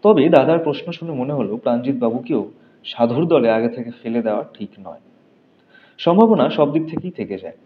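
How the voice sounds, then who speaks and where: Guy reading a detective story, dramatic narration, medium pitch, bit muffled w faint noise. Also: animated, fairly quick. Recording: dull recording with faint noise.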